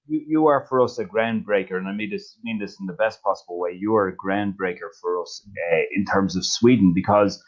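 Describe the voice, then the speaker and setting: A man's voice: lowish voice, brisk, hardly any pauses. A man interviewing a student, asking casually with some stumbling restarts. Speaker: interviewer for the school. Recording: muffled, dull sound.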